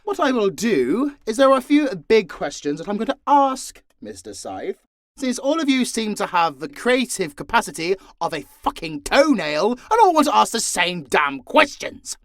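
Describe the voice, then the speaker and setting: A man's voice: high-pitched, big pitch swings, normal speed, dry recording, voice pushed up. A man voicing an irritable, theatrical radio-host character with a British accent, scolding sarcastically and haughtily. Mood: scornful. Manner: indignant, haughty, emphatic scolding. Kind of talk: comic in-character audio roleplay.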